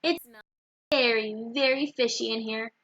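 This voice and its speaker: A woman's voice: a little high, moderate pace, fairly bright tone, muffled recording. A woman voicing a polite, earnest, forgetful little boy in a children's storybook.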